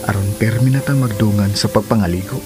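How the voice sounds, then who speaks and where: An actor playing a sweet-talking cheating husband who turns evasive when cornered, in a radio drama. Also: male voice, fairly low pitch, fairly quick, natural voice. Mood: tender, coaxing. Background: music.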